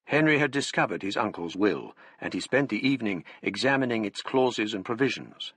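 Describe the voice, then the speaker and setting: An actor playing the formal, measured English narrator of a gothic radio mystery. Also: male voice, fairly low pitch, animated, fairly bright tone, husky, dry recording. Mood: measured, ominous. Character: male narrator recounting a gothic mystery in first person. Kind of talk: scripted radio drama narration.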